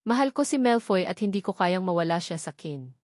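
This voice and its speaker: A woman's voice: on the low side, lively intonation, brisk, darkish tone, no room sound, clean studio sound, lightly acted. Plaintive heartbroken wife, read by a woman. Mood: anguished, anxious. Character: heartbroken young wife missing her husband. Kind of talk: dramatised novel reading.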